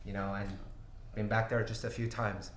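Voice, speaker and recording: Halting man hosting a livestream Q&A. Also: fairly low pitch, level tone, roomy sound, a little hiss or hum. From Brazil. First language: Portuguese. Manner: halting, many fillers and restarts, laughs easily. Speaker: company CEO hosting an AMA. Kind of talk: casual livestream Q&A. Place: small office studio with glass walls.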